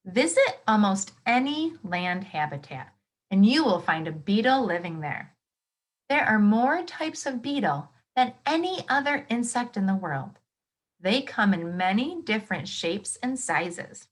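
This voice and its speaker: A woman reads aloud to children in the way of a teacher. Her intonation swings widely and she takes her time. She is expressive, exclaiming and pausing to explain or to put questions to her young listeners. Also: medium pitch. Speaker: teacher reading a nonfiction book aloud to children.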